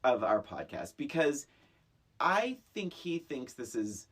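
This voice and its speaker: Middle-aged woman, husky, low and animated. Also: slow. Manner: emphatic, repeats words, breaks into laughter. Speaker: podcast co-host. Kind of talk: casual comedic movie-discussion chat.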